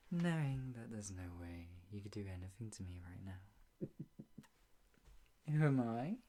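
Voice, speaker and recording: A performer acting a smug, possessive yandere kidnapper in an improvised ASMR roleplay, teasing with chuckles, sighs and breathy whispers. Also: mid-range voice, expressive, a little slow, mellow, a little hiss or hum, lightly acted. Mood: gloating, teasing.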